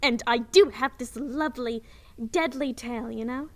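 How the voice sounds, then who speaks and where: A woman voicing a vain, bubbly, naive young dragon princess in an airy, girlish way for an animated fan dub. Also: on the high side, normal speed, lightly acted. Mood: smug, airy. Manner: airy, self-satisfied, girlish.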